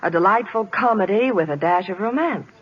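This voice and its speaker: A woman gives a scripted 1940s radio promotion as a reporter. Her intonation is lively and varied. The recording is muffled, like an old broadcast. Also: medium pitch, a little fast, slightly rough. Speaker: Hollywood reporter on radio show.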